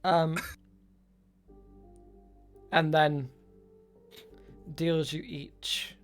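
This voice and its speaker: A man's voice: a little high, fairly even intonation, extremely slow, soft background music. A man improvising narration as game master of a tabletop game, hesitant and thinking aloud.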